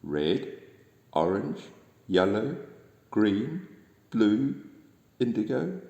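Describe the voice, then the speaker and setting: A mellow male storyteller reads a bedtime story to young children. He takes his time, and his pitch swings widely and expressively. The room rings with long reverb. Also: very slow, gravelly, muffled recording. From New Zealand. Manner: gentle, expressive, addressing young listeners. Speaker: storybook reader.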